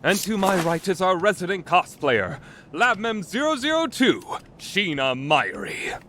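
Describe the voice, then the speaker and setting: A man's voice: high-pitched, sing-song, measured pace, sharp tone, a little hiss or hum, exaggerated voice. A man playing a grandiose, self-styled mad scientist in an anime fan dub, with pompous, dramatic delivery. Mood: grandly announcing.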